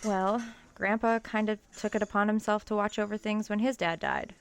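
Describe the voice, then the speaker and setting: A woman's voice: medium pitch, little pitch movement, moderate pace, close to an everyday voice. An actress playing an earnest young college woman in a naturalistic audio drama. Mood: hesitant, serious. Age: young adult. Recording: clear background vehicle noise.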